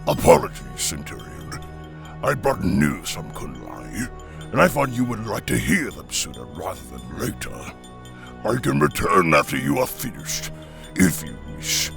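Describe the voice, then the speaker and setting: Big orc henchman, super gravelly low voice, formal and menacing, audio drama. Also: very animated, measured pace, over music, exaggerated voice. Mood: deferential. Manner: formal, deferential to his commander. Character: massive brutish male orc grunt, menacingly polite subordinate.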